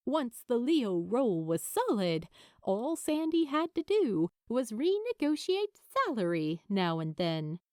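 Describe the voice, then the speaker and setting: A woman reading fiction as an audiobook narrator, with expressive, deliberate, dramatic phrasing. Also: on the high side, no echo, studio quality.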